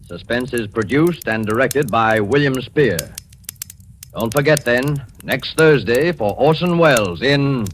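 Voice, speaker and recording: A man announcing a 1940s radio program in a solemn, dramatic, measured way. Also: medium pitch, background noise. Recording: old 1940s radio recording. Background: loud music.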